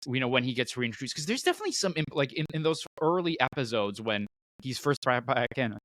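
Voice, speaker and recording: A man's voice: mid-range voice, big pitch swings, fast talker, a bit bright, no room sound. A man co-hosting a casual fan podcast, speaking informally and musing aloud. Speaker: podcast host.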